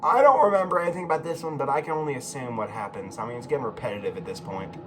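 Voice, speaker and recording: A man giving casual, rambling video commentary as a host, halting and repeating himself, with informal, sweary phrasing. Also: medium pitch, background noise. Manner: profane, informal. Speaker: YouTube host.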